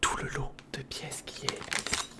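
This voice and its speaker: Whispering ASMR creator, close and intimate. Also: unhurried. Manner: whispering, addressing the viewer intimately and informally. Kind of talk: ASMR, whispered narration of a toy unboxing and build. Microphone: sensitive recorder microphone, close.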